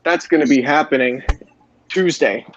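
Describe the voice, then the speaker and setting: A man hosting a podcast, giving a casual wrestling recap in a halting way, often repeating phrases. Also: on the high side, slight background noise. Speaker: podcast host. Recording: roomy, dull lo-fi voice chat.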